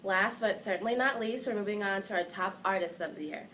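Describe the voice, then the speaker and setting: A woman's voice: medium pitch, brisk, bright, forceful tone. A woman reading scripted top-five countdowns as the entertainment reporter of an amateur newscast. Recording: faint background noise.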